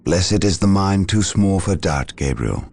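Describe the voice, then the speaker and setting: An actor playing a calm, loyal space marine advisor in a video game, with measured, solemn and formal speech. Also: male voice, low-pitched, gravelly, exaggerated voice. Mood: solemn counsel. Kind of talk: dramatic video game cutscene acting.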